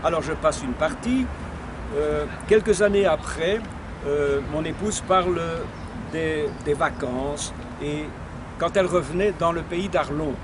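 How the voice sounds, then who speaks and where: An older man with a Belgian French accent reads a memoir aloud in a rather high voice. He goes at an unhurried pace and trips over a word here and there. Loud street and car noise fills the recording. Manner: unhurried, slightly halting reading with small restarts. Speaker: reader of his wife's memoir. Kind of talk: reading a text aloud with spoken asides.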